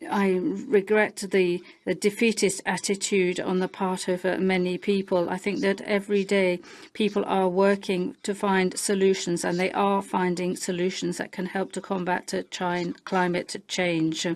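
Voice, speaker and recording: A woman giving a simultaneous interpretation of a committee speech, halting and full of filler sounds and restarts. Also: on the low side, level tone. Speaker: parliamentary interpreter.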